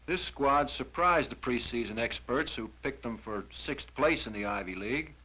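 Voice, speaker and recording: A male college football coach with an American accent gives a prepared season review. His voice sits at a middle pitch, in the style of a 1960 campus film. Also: a bit bright, rough recording.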